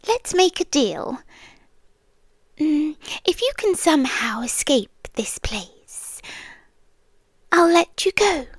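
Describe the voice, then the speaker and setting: A woman acts out a British siren queen, an obsessively loving female monster in an ASMR roleplay. Her voice is high and extremely unhurried, soft and teasing, slipping into whispers. She sounds sweetly menacing and possessive, recorded close and dry. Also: dry recording, heavily acted. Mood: mocking amusement.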